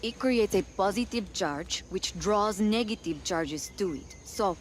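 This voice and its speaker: A woman's voice: mid-range voice, expressive, unhurried, close to an everyday voice. Actress as young rebel woman in a video game, businesslike and sure of herself, faint noise behind. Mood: matter-of-fact. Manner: matter-of-fact, explanatory. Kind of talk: cinematic video game acting.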